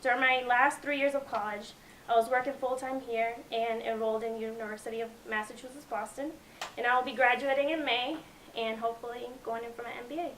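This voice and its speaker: A young woman with a fairly high voice speaks earnestly and with determination, like an interviewee telling her own story. A faint hiss sits under the recording. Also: fairly even intonation, brisk, fairly bright tone, rough recording. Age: young adult. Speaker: youth jobs program participant.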